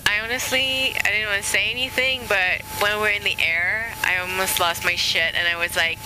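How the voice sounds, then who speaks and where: Female nervous passenger, mid pitch, casual and sweary, headset audio, heavy cabin noise. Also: fairly even intonation, fairly quick. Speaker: fearful airplane passenger. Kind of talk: casual chat. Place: small plane cabin.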